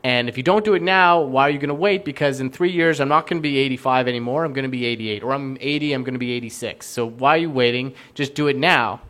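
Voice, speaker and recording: A man's voice: medium pitch, quick pace, few pauses, a bit bright. A man on a medical panel, speaking thoughtfully and searching for his words. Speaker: panelist physician. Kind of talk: panel discussion.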